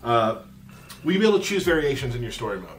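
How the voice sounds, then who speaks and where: A man hosting a casual live question-and-answer chat in an easygoing, joking, deadpan way. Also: mid-range voice, fast talker, recorded from a distance, poor audio. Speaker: livestream host from a game studio. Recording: faint background noise.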